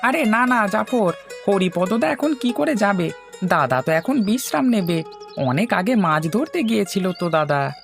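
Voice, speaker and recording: A man's voice: sits high, very animated, a little slow, gravelly, a bit bright, soft background music, pitched above the performer's own voice, full character voice. A man voicing a cheerful, chatty village companion in a cartoon, with an exclamatory, familiar manner. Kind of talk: Bengali cartoon voice acting.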